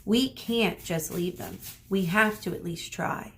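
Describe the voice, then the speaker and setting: A woman's voice: mid-range voice, a little slow, slight background noise, lightly acted. A woman voicing a determined young girl who leads her friends in a storybook. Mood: firm. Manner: shouting, firm.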